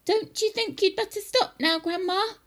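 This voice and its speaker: Woman acting young boy, storybook character, high, lively swings in pitch, eager, earnest, defiant. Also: takes it slowly, full character voice. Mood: worried. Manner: calling out and protesting. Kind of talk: children's storybook character reading.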